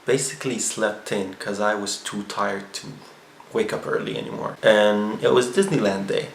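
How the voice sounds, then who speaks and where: A man's voice: lowish voice, creaky, fairly bright tone. A man casually recapping his trip in a chatty, rambling vlog. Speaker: vlogger. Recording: echoey room with faint ambient noise.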